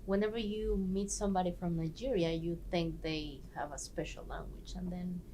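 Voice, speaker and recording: A woman's voice: lowish voice, moderate pace, slight background noise. Woman hosting a chat. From Guatemala. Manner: animated, laughs often, searches for words. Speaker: host. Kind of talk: casual discussion.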